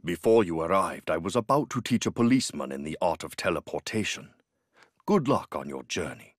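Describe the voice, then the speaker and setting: A man playing a serene, wise old yoga master in a video game, speaking in a measured, solemn way. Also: sits low, expressive, no room sound, clean studio sound. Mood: serene, approving.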